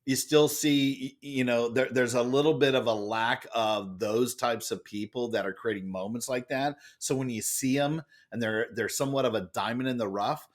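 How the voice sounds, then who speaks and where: A middle-aged man with a loud voice, an author and speaker telling a story as a podcast guest, animated and expressive. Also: moderate pace, few pauses. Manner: expressive, acts out quoted lines with big jumps in pitch.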